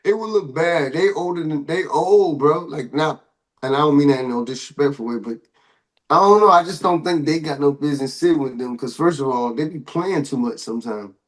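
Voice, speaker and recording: Higher-voiced man bantering on a podcast. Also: fairly quick. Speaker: podcast co-host. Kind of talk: casual banter about hip-hop and pop culture news.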